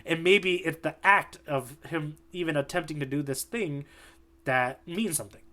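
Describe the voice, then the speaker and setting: A man with a slightly high voice hosts a relaxed podcast chat. He jokes, wanders through his points and fills the gaps with "like" and "um". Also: normal speed. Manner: joking, loose and filler-heavy. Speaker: podcast host. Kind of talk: casual chat.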